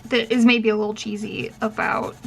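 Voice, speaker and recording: A woman's voice: a little high, little pitch movement, normal speed, vocal fry. Chatty woman vlogger with faint hiss. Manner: chatty, many fillers and restarts. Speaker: vlogger and professor. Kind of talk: casual chat.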